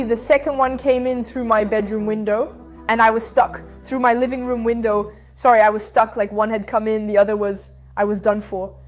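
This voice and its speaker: Woman, fairly high voice, animated conversational telling, lots of fillers, soft music behind. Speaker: guest. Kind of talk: casual storytelling interview.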